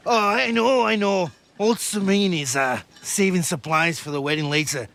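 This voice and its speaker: An actor playing a rowdy, mocking young nobleman in a video game, with a jeering, drawn-out delivery. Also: high voice, vocal fry, fairly bright tone. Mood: condescending.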